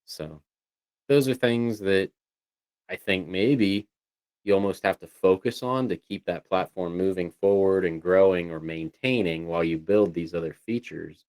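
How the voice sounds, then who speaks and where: A man's voice: low voice, measured pace, darkish tone, no echo. A man chatting casually as a livestream co-host, talking in a rambling way with lots of "you know"s. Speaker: co-host of a voice tech show.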